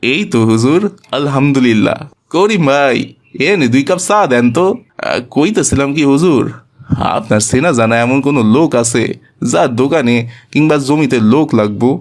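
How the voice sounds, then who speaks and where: A man playing a gentle, devout, poor village father in a cartoon, with a colloquial Bangladeshi accent and a mild, homely way of talking. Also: medium pitch, expressive, normal speed, natural voice. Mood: polite, hopeful. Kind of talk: cartoon voice acting.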